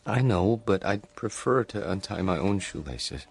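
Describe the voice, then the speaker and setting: An actor playing a meek, awkward grown son in a radio drama, stammering politely, a lonely and secretive dreamer. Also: male voice, low-pitched, expressive, measured pace, slightly rough, exaggerated voice. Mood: evasive, meek. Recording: music bed under the dialogue.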